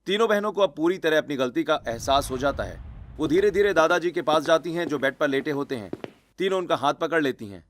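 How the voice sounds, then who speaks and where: A man's voice: a little high, lively intonation, fairly quick. A man reading scripted, dramatic story narration.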